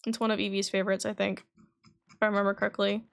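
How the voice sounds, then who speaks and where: A woman's voice: medium pitch, level tone, quick pace, gravelly. A woman streaming a game, chatting casually to viewers as she plays. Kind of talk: casual livestream chat and reading game dialogue aloud. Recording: faint background noise.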